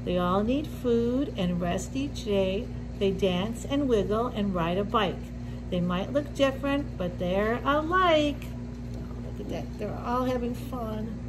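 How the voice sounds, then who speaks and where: A middle-aged woman reading a picture book aloud to young children, with deliberate simple phrasing and little asides. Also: fairly high pitch, lively intonation, noisy background. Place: indoor classroom with sink and counter.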